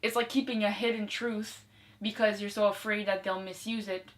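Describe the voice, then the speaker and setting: Woman chatting informally, medium pitch. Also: steady tone, normal speed. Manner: informal, tentative, often ends on check-in tags like "right?". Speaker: co-host or guest discussing a movie. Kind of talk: casual conversational chat.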